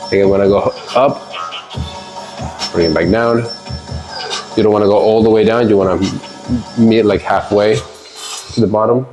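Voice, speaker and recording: A man's voice: lowish voice, low-quality recording. Congested man chatting through a workout. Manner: relaxed, rambling, with fillers and laughs. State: congested from a cold. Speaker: fitness vlogger. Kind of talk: casual workout vlog talk.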